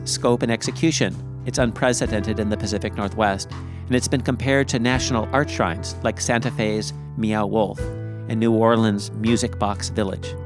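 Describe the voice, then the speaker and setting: Man hosting a podcast over guitar music. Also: medium pitch. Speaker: podcast host. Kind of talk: scripted introduction and interview.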